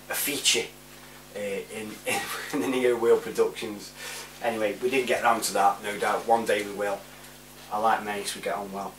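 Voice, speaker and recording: A British man in his forties chatting casually to camera in a laid-back, rambling way, giving shout-outs. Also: fairly low pitch. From United Kingdom. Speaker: vlogger. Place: small room indoors at home. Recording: roomy, distant mic with faint hum.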